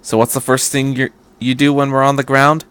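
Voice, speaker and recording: A man voicing a brisk, resolute female military officer in a story, commanding and matter-of-fact. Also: medium pitch, flat delivery, fairly bright tone, clean tone, lightly acted. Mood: determined.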